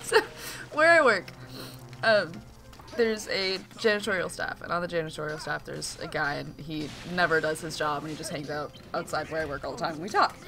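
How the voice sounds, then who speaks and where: A man co-hosting a game playthrough, chatting and telling an animated story. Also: on the high side, fairly quick, creaky. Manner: animated storyteller, laughs easily. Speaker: let's play co-host. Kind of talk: casual chat over gameplay. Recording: faint game music behind.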